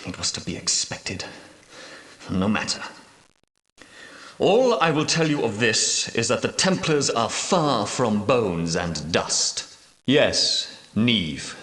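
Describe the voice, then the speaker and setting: An old knightly guardian of a holy relic in a video game. His voice is low, solemn and portentous, with formal phrasing. It rings in a roomy echo, a little distant from the microphone. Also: a bit bright. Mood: measured, regretful, then grave, reflective. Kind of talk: video game dramatic dialogue.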